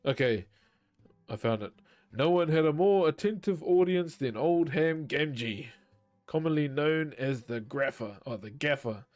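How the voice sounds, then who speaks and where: A man's voice: a little high, lively intonation, unhurried, soft background music. A man reading a novel aloud on a live stream, chatting casually with viewers between passages and swearing freely. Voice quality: naturally loud voice. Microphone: desk microphone.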